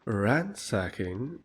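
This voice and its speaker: A man playing a haughty, mocking lord with a husky voice in a fantasy audio drama, pompous and sarcastic. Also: mid-range voice, darkish tone, heavily acted.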